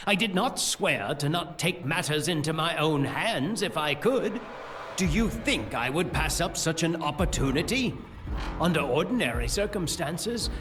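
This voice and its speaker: An actor playing a gloating, treacherous priest, a grandiose and taunting villain in a fantasy audio drama. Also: male voice, a little high. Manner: theatrical, taunting.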